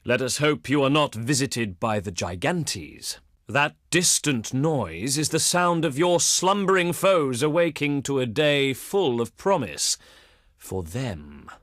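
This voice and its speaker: Proud, theatrical ancient Greek diplomat. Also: male voice, medium pitch, sing-song, takes it slowly, a bit bright, dry recording, clean studio sound. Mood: disapproving, regretful. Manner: pompous, flowery, formal oratory. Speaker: video game voice actor. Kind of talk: theatrical game diplomacy voice lines.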